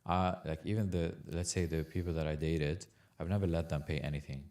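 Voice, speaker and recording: A man's voice: a bass voice, steady tone, brisk, darkish tone. A man from Lebanon, a guest on a casual podcast, talking earnestly, stumbling over repeated words and saying "bro" a lot.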